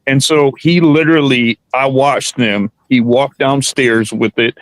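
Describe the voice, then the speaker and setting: A man's voice: mid-range voice, steady tone, measured pace, no echo, poor audio. Rambling man, dry sound. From South Carolina Low Country. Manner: rambling with many restarts and fillers. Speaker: podcast host. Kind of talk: casual chat.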